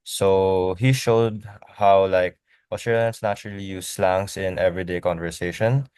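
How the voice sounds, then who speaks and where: A man's voice: deep voice, steady tone, moderate pace, darkish tone, clear, resonant tone, no echo. A young man giving a class presentation, earnest and a little hesitant. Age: young adult. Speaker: student presenter.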